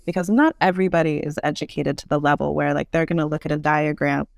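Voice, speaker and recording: A woman speaks as an interview guest in an articulate, conversational way. Her voice has a noticeable creak, and it is captured dry and close on a podcast microphone. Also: sits low, fairly even intonation, fast, no room sound. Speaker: guest, urban design expert. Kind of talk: explanatory podcast interview answers.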